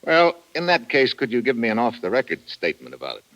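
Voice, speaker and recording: An actor playing a suave, wry American foreign correspondent in an old-time radio drama. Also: male voice, medium pitch, huge pitch swings, quick pace, a bit bright, rough recording. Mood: businesslike. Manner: wry, casual, smooth-talking.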